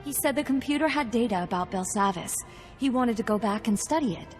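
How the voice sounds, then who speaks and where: An actress playing a shaken, weary officer in a war zone, speaking earnestly in a video game cutscene. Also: female voice, on the high side, animated, fairly quick, close to an everyday voice. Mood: troubled, weary. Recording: long hall reverb, faint music.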